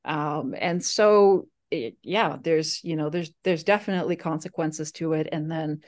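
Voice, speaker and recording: A woman's voice: sits low, measured pace, darkish tone. A woman from Slovakia, Slovak her first language, telling her story casually in an interview with many fillers and restarts. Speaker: guest.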